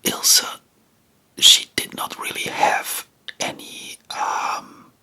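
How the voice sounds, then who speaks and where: Slow, sharp whispering ASMR voice. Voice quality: whispered, breathy, unvoiced. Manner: slow, rambling, informal. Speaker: host of a history ASMR channel. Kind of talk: ASMR whispered history chat.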